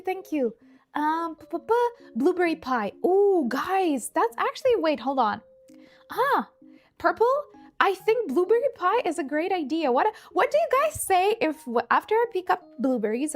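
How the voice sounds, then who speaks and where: High-pitched Ukrainian-accented woman livestreamer. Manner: bubbly, animated, rambling. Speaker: livestream host. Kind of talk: casual livestream chat while cooking.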